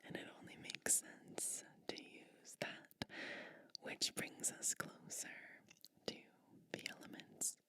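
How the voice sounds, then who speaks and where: A woman performing a soothing, attentive ASMR roleplay as a kind, nurturing witch, in an unhurried manner. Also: bright, forceful tone.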